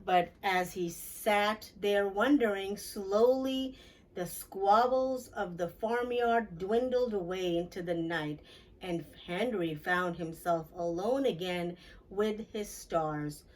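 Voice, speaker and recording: Woman doing a kids read-aloud, really unhurried, expressive, a bit high, sounds off the mic. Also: recorded from a distance, low-quality recording. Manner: expressive, addresses young listeners with questions. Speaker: children's story reader. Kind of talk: read-aloud storytelling for children.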